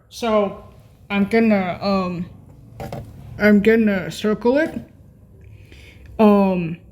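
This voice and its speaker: A woman giving an informal, unscripted on-screen walkthrough, hesitant and often repeating and correcting herself. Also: a little high. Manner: frequent throat clearing. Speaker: video creator and presenter.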